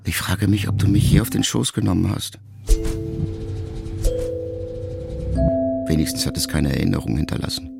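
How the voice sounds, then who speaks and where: A man acting a brooding son who addresses his dying mother in a reflective, measured radio-drama monologue. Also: low voice, gravelly, over loud music. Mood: reflective, searching. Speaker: radio play actor. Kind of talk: intimate first-person literary monologue in a radio drama.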